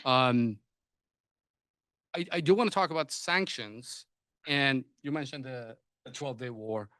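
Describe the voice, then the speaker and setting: A man's voice: mid-range voice, a little slow, a bit bright, no echo. A man whose first language is Persian, moderating a panel discussion, often hesitating and restarting his sentences. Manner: hesitant, many restarts and fillers.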